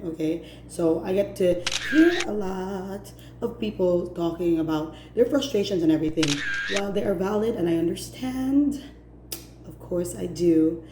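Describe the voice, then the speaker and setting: A fed-up woman rants to camera, stressing and repeating her points. Her voice is mellow and sits in a middle range. The room is roomy and echoey, with a faint hiss underneath. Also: medium pitch. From Philippines, Cebu City. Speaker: vlogger. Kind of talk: direct-to-camera opinion rant.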